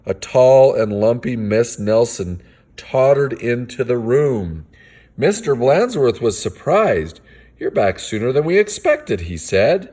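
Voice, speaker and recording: A man's voice: medium pitch, sing-song, takes it slowly, warm tone, slightly rough. A man reading a picture book aloud as a father to kids, with playful, theatrical delivery and casual asides.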